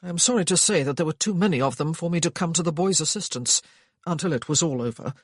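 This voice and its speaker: A narrator voicing an English gentleman who speaks calmly and reassuringly to strangers. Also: mid-range voice, fast talker, no echo, clean studio sound, natural voice.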